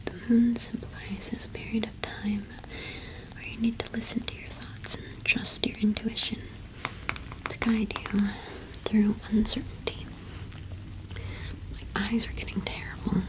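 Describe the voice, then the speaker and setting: A young woman whispering gently and unhurriedly in a casual ASMR roleplay, close to the microphone. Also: fairly high pitch, little pitch movement, measured pace, pauses often, low-quality recording. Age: young adult. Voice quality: breathy whisper. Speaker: ASMR roleplay performer playing a shopkeeper witch.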